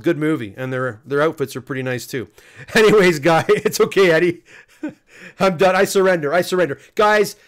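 A man in his fifties hosting a casual livestream from a home room, reading viewer comments, laughing often and coaxing viewers to hit like. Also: high voice. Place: home studio room, indoors.

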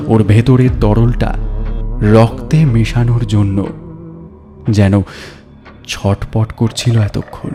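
Male story narrator with a mellow voice. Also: mid-range voice. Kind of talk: dramatic scripted story narration. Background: background music.

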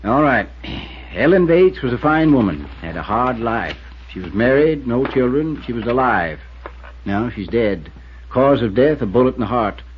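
An actor playing a glum, middle-aged small-town constable with a rural American accent, husky and terse, in a 1950s radio drama. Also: male voice, mid-range voice, expressive, a little hiss or hum, natural voice. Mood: stubborn, grim. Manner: folksy, terse, slow to commit. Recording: muffled 1950s radio recording.

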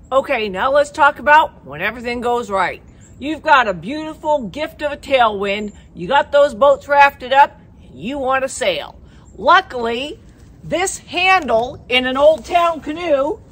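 High voiced lady guide talking outside by the water, folksy and emphatic, bright voice. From Maine. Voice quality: emphatic, folksy, repeats "okay?". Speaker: Maine canoe guide and show host. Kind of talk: outdoor instructional talk to camera. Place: outdoors by the water.

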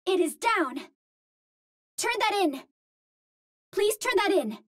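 A woman's voice: very high voice, big pitch swings, slow pace, fairly bright tone, dry recording, studio quality, heavily acted. A woman voicing a cute, earnest young Martian heroine in a video game, with precise, formal phrasing. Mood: focused, matter-of-fact. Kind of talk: video game character voice lines.

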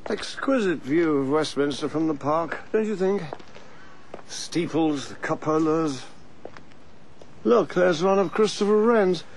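An actor playing an arch, pompous, scheming English political insider in a radio drama. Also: male voice, a little high, expressive, measured pace, creaky, slight background noise. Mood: arch, sly. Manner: pompous, sly, theatrical.

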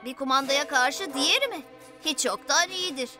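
A woman's voice: high-pitched, moderate pace, lightly acted. A woman voicing a decisive, questioning young boy superhero in a dubbed children's cartoon. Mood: resolute. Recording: loud music behind the voice.

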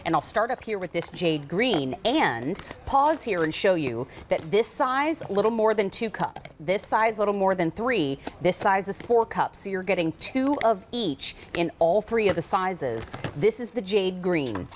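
Lively-voiced woman shopping host. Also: mid-range voice, a little slow, hardly any pauses, slight background noise. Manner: upbeat, enthusiastic sales patter. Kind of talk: live home shopping presentation. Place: TV studio kitchen set.

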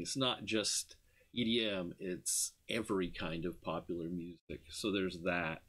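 A man's voice: lowish voice, a bit bright. A man lecturing informally on slides, explaining conversationally and posing questions that he then answers. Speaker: university music lecturer. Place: indoor room, screen-recorded lecture with webcam.

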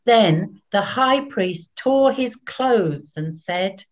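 Older woman, measured scripture narration, slow delivery, lo-fi webcam audio. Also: medium pitch, animated. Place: small plain room at home.

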